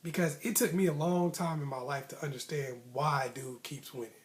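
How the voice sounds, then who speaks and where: A man with a slightly high voice tells a personal story casually, like a vlogger talking to followers. His phrasing is colloquial and slangy, and he repeats lines to drive a motivational point home. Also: fairly even intonation, normal speed.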